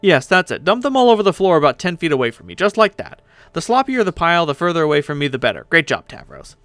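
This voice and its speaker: A man plays a cocky pirate ghost girl from an audio drama. The delivery is very animated and sarcastic, with a gravelly creak at the edges. The manner is impatient and boastful. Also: medium pitch, brisk, no room sound. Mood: exasperated, loud. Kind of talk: dramatic fan reading of a web story.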